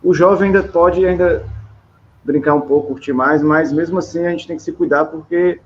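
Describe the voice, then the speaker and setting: A middle-aged man with a Brazilian accent, hosting a casual rambling chat in a halting way with repeated words. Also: a little high, fairly even intonation. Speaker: interview host. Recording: dull, lo-fi, clear background noise.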